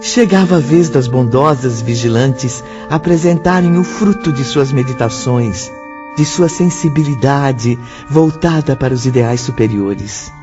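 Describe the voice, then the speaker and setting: A man's voice: mid-range voice, unhurried. A man with a Brazilian accent narrating a scripted radio drama. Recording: muffled audio with music.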